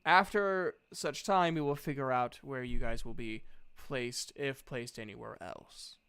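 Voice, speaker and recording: A man's voice: medium pitch, a little slow, fairly bright tone, clear, resonant tone. A man voicing an orderly woman guild leader who gives assignments in a formal, authoritative way, as in a tabletop game. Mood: businesslike.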